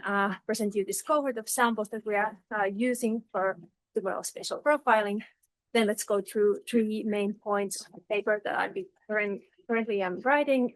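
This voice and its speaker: A woman's voice: a little high, dry recording, low-quality recording. Finnish-accented woman giving a science talk. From Finland. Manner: careful, with frequent "uh" fillers. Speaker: research speaker.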